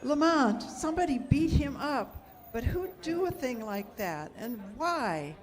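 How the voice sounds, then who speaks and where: A woman's voice: high-pitched, sing-song, unhurried. A woman playing a sympathetic, inquisitive lady companion to a detective in old-time radio drama style. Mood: sympathetic, concerned.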